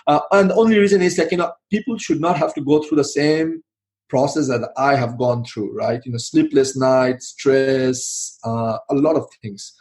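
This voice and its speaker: A man's voice: medium pitch, normal speed, a little mellow. A middle-aged man from Bangladesh answering interview questions in a loose, rambling way, full of "you know" and restarts. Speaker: podcast guest, IT manager and founder.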